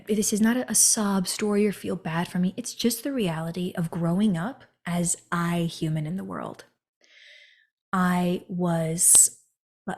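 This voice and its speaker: A woman's voice: mid-range voice, a little mellow. Earnest woman podcast host. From United States. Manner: earnest, addresses listeners directly, often adds "right?". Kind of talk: personal storytelling monologue.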